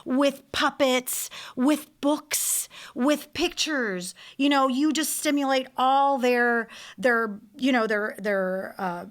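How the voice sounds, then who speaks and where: Woman, animated studio guest. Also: high-pitched, takes it slowly, hardly any pauses. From Puerto Rico. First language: Spanish. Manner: animated, gestures while talking, frequent restarts. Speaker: language school director and teacher. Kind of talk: casual interview talk. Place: TV studio set. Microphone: studio boom mic.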